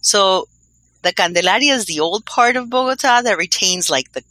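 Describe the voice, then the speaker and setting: A woman's voice: medium pitch, animated, normal speed, pushed and bright, no room sound, rough recording. A woman co-hosting a podcast, chatting in a rambling way with many fillers. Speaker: podcast co-host. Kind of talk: casual podcast chat.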